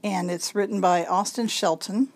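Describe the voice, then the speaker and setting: A woman reads a magazine piece aloud in a raspy voice, thickened by congestion. She takes it slowly and carefully, shaping each word clearly for listeners who cannot read the page. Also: mid-range voice, steady tone, a little mellow. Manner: slow, careful enunciation. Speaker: volunteer reader of a local magazine. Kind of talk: reading a magazine article aloud.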